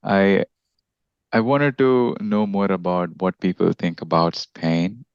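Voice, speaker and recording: A man's voice: sits low, fairly even intonation, takes it slowly, clear, resonant tone, no echo, lo-fi sound. A man from India hosting a casual podcast interview, friendly, restarting his sentences often. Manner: friendly, with frequent restarts and fillers. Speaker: podcast host.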